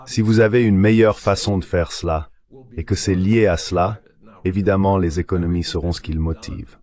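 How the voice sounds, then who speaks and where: A man's voice: sits low, fairly even intonation, moderate pace, a little mellow, lightly acted. A man delivering an explanatory voice-over translation for an interview guest. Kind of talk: dubbed interview commentary, explanatory.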